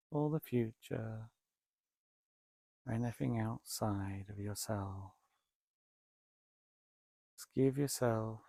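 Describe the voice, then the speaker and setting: A man leading a guided meditation, speaking calmly and deliberately in short phrases with long pauses between them. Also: fairly low pitch, creaky, dry recording, studio quality. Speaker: meditation teacher, Buddhist monk.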